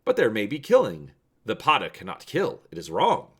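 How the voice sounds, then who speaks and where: A man's voice: fairly low pitch, wildly swooping pitch, moderate pace, fairly bright tone, slightly rough, heavily acted. A man voicing a timid, peace-loving furred alien biped who speaks in an earnest, formal way in a storybook reading. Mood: protesting, worried.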